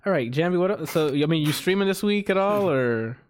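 Joking, slangy podcast host, dry sound. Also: male voice, a little high, lively intonation, brisk, dry recording. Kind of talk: casual chat.

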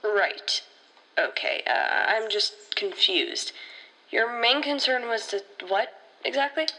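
A woman's voice: on the high side, animated, slow pace, sharp tone, exaggerated voice, low-quality recording. A woman playing an anxious, defensive teenage rookie ranger in an audio drama, insistent and sarcastic. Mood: confused, irritated.

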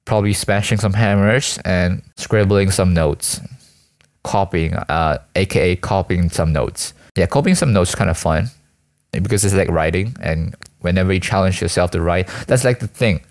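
Young man, student from Malaysia, low-pitched. Casual solo vlog ramble, halting and self-mocking, full of slang. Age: teens to young adult, school student. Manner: hesitant, self-deprecating, slangy. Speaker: student vlogger and aspiring actor.

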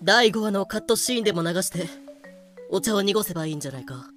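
A woman's voice: mid-range voice, animated, fast, fairly bright tone, soft background music, natural voice. Woman voicing a bellowing, pompous hot-tempered pirate. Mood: flat. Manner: blustering, imperious. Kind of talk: fan-made Japanese voice drama acting.

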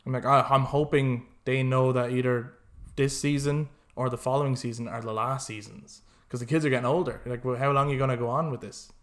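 A man hosting a casual podcast, talking in an animated, rambling, opinionated way. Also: medium pitch, a little fast, few pauses. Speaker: podcast host.

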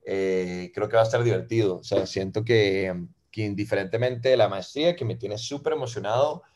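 Relaxed Costa Rican-accented man, lowish voice. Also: normal speed. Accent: Costa Rican Spanish. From Venezuela. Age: 30s. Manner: relaxed, fills with "ma" and "eh". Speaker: podcast guest. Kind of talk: casual interview chat.

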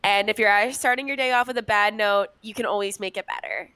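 A woman's voice: mid-range voice, brisk, smooth, dry recording. Blunt, foul-mouthed young woman co-host. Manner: blunt, swears freely, animated storytelling. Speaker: podcast co-host. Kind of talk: casual chat.

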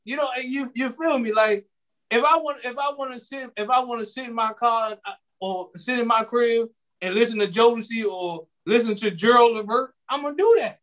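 A man in his late twenties holds forth on a podcast in a relaxed, slangy ramble. He restarts his sentences and circles back over the same points. His voice sits high for a man. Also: fairly quick. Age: 20s. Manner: rambling, repeats himself, slangy. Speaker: podcast co-host. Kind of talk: casual podcast chat.

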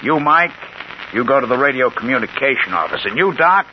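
Noisy, muffled vintage radio audio. A male actor plays a confident criminal mastermind, a man who lays things out methodically and insistently. His delivery swings widely in pitch, in old radio crime-drama style. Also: very animated, fairly quick, lightly acted. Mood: confident, calculating.

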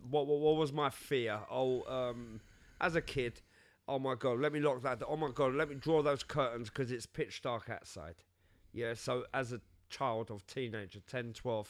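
Fifties London man, co-host, animated chatty delivery, dry close sound. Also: mid-range voice, no echo. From England. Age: 50s. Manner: animated, repeats words while thinking, swings loud and soft. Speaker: podcast co-host. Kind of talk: casual chat.

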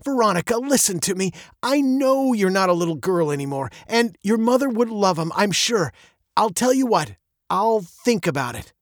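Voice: mid-range voice, expressive, moderate pace, no room sound, studio quality, pitched above the performer's own voice, natural voice. A storyteller voicing a protective, easily flustered father with a stern, emphatic manner in a children's tale. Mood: softening.

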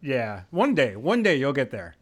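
A man's voice: medium pitch, normal speed. A man hosting a casual podcast, joking and laughing easily as he chats with his guest. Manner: joking, laughs often, expressive. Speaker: podcast host.

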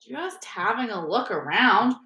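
A blunt, grumbling storybook schoolboy voiced by a woman, quick to get defensive. The gruff delivery is slightly raised in pitch and rings in an echoey room. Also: big pitch swings, pushed and bright, exaggerated voice. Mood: offhand.

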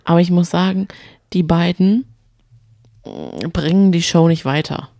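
A woman's voice: lowish voice, sing-song, unhurried, mellow, dry recording, studio quality. A woman co-hosting a casual podcast, bantering in a chatty, teasing way. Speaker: podcast co-host. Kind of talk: casual banter recapping reality TV.

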